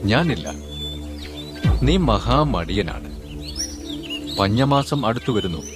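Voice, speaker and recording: A diligent little ant in a cartoon story lectures and scolds a lazy friend, as a man gives him voice. The voice is on the low side with steady music under it. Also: takes it slowly. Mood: scolding. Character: hardworking, stern little male ant. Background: background music.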